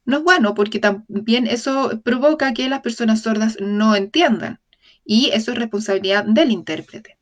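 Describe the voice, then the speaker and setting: A woman with a somewhat high-pitched voice delivers a lecture for an open talk series. She moves through it in a measured way, often breaking off to correct a word and tossing out tag questions to the audience.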